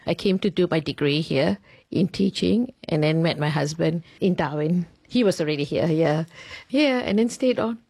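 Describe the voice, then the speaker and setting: A middle-aged woman from Singapore with a Singaporean accent, a teacher answering interview questions in measured, list-like phrases with pauses. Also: sits low, gravelly, darkish tone, breathy edge, dry recording.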